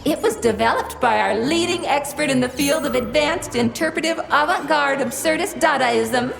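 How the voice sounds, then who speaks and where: A cheerful female robot guide from a video game, pitched high with a creaky edge. She is formal and relentlessly polite, presenting like a showroom host. Her voice rings in a long hall reverb. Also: moderate pace, soft background music. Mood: polite, instructive. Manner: chirpy, formal, over-polite presenter patter. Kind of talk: scripted video game character dialogue.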